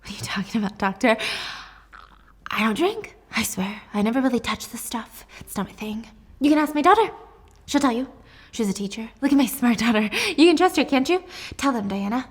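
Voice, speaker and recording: A woman's voice: a little high, lively intonation, brisk, husky. A woman voicing a mean, foul-mouthed mother with an explosive, taunting manner in a dramatic story retelling. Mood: defensive, wheedling. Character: ranting, cruel, money-hungry alcoholic mother, volatile, mocking.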